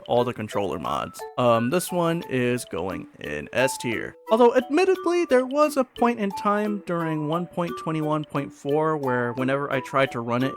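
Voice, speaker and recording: Chatty guy doing a casual gaming video, really lively up and down voice, opinionated, music playing behind him. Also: mid-range voice. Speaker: gaming video creator and host. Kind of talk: casual ranking commentary.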